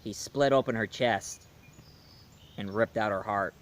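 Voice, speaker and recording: A man's voice: lowish voice, measured pace. A man reviewing a movie in a casual, rambling way, with fillers and restarts. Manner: rambling, loose, with fillers and self-corrections.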